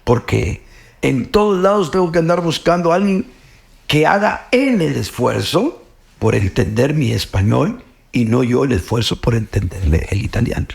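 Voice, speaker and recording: A man's voice: medium pitch, big pitch swings, normal speed, gravelly, a little mellow. A middle-aged man with a Guatemalan Spanish accent, speaking earnestly about faith, often repeating words and ending with "pues, ¿verdad?". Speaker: host. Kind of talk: reflective faith talk.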